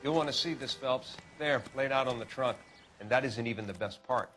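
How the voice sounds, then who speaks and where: An actor playing a wry, unflappable police forensic examiner who explains things precisely in a 1940s video game. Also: male voice, mid-range voice, fairly quick, fairly bright tone, slight background noise, natural voice. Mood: dry, intrigued.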